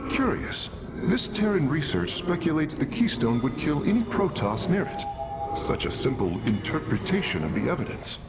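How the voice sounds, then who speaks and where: An actor playing an earnest, cerebral alien engineer in a video game, speaking formally and precisely. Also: male voice, medium pitch, gravelly. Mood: frustrated, curious. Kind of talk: dramatic video game acting. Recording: loud game music behind.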